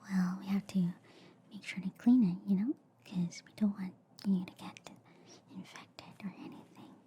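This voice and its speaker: Female performer as gentle alien girl medic, ASMR roleplay, whispered, mellow and unhurried, cheerfully silly, faint background noise. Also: medium pitch, steady tone, lightly acted. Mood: soothing. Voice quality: breathy, whispery. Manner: slow, hushed, soothing, repeats phrases softly.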